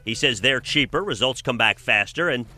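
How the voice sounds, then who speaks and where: A man's voice: medium pitch, lively intonation, moderate pace, pushed and bright. An American man working as an investigative reporter, delivering scripted broadcast news narration.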